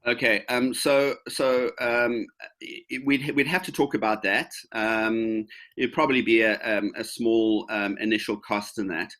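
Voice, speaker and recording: Man in his fifties, hesitant and low. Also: fairly even intonation, a little slow, a bit bright. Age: 50s. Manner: hesitant, many fillers and restarts. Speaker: presenter answering questions. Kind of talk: casual webinar Q&A. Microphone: video call.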